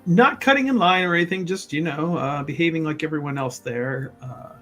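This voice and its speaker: Rambling, higher-voiced male tabletop player. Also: brisk, a bit bright. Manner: rambling, hesitant phrasing. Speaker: player in a tabletop roleplaying game. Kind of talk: improvised, casual description of his character.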